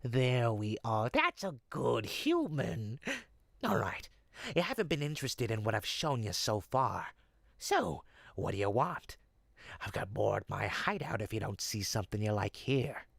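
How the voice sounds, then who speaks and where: A performer voicing a sly, smooth-talking goblin potion peddler in an audio roleplay, with folksy, teasing salesman patter. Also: mid-range voice, very animated, measured pace, dry recording, exaggerated voice. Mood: inviting, sly. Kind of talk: audio roleplay, in-character monologue to listener.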